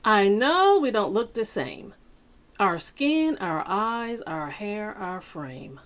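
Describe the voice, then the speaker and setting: A woman reading a picture book aloud to children like a teacher, with deliberate, dramatic phrasing and pauses. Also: medium pitch, very animated, fairly bright tone. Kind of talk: expressive children's read-aloud.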